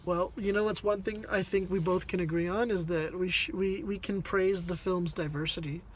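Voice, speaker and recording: A man's voice: high voice, fairly even intonation, moderate pace, slight background noise. A man giving a casual conversational movie review, hesitant and rambling as he searches for words. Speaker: film reviewer on a video channel.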